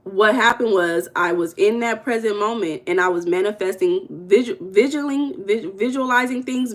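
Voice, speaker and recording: A woman's voice: medium pitch, a bit bright. A young American woman giving loose, rambling mindset coaching in a casual livestream chat, stumbling and correcting herself as she goes. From United States. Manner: loose, rambling and conversational, with self-corrections and humming between comments. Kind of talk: casual livestream chat, reading viewer comments.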